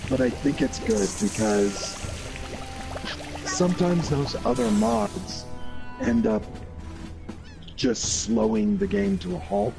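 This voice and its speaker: A man's voice: high-pitched, lively intonation, slow, gravelly, pauses often. A man giving his opinions in a casual gaming discussion, explaining emphatically point by point. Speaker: gaming show panelist. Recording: music behind the voice.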